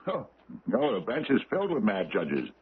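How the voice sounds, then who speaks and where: An actor playing a pompous, stammering older judge with a killer's streak, in old-time radio drama style. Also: male voice, on the low side, huge pitch swings, normal speed. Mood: jovial, dismissive. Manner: stammering, blustering, theatrical. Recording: muffled, noisy old radio recording.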